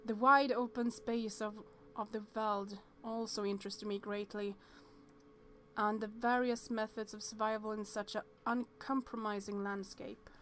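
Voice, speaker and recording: A woman's voice: mid-range voice, flat delivery, measured pace, fairly bright tone, slight background noise. A woman streamer reading a visual novel aloud, stumbling over words and chatting to viewers between passages.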